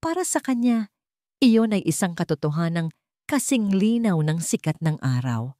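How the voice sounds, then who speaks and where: A woman's voice: on the low side, sing-song, normal speed, warm tone, no room sound, clean studio sound. A woman storyteller reading dramatic scripted narration.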